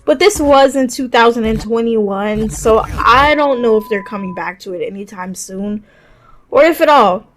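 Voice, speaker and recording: A woman's voice: a little high, moderate pace, soft background music. A woman hosting a narrated top list of games, conversational, with personal asides and enthusiastic recommendations. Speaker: video game reviewer, channel host.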